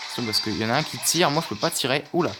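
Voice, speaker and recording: A man's voice: mid-range voice, very fast. Hesitant man commenting on gameplay. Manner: hesitant, many fillers and restarts. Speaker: gaming youtuber. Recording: music playing behind. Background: game music.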